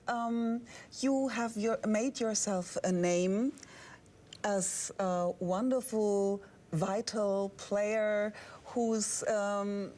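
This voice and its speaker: A woman interviewer introducing her guest and asking questions in a measured, hesitant way with many fillers. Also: mid-range voice, warm tone.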